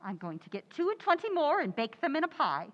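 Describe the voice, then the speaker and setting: A woman playing a flustered, wisecracking housewife in old-time radio sitcom style, with quick sarcastic comebacks. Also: high-pitched, sing-song. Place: community theatre stage radio recreation. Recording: echoey hall, dull sound.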